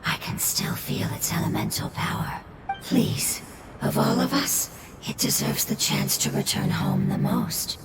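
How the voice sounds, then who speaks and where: Lady doing a whispery kind spirit in a game, soft and soothing, far off and echoey with loud music. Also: mid-range voice, fairly even intonation, unhurried, natural voice. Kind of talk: video game cutscene dialogue.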